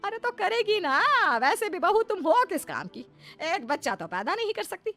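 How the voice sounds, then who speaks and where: A woman's voice: a little high, fairly quick, fairly bright tone, faint music behind, close to an everyday voice. A woman voicing a domineering older mother-in-law in a cartoon family drama, commanding and melodramatic, given to scolding. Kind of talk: dramatised cartoon story dialogue.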